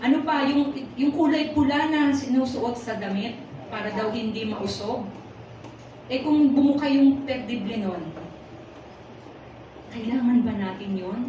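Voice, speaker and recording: A woman with a Filipino accent preaching conversationally in Tagalog and English, teasing and putting questions to her congregation. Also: sits high. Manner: animated and teasing, puts rhetorical questions to the congregation. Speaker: preacher at a Sunday worship service. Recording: echoey hall from far off, noisy.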